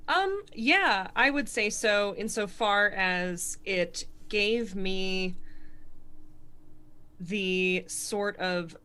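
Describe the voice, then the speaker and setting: A woman from Connecticut with a Connecticut accent, a stand-up comedian speaking fast and articulately in a casual interview, self-deprecating and laughing often. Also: medium pitch, expressive, bright, forceful tone, smooth. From Connecticut, USA.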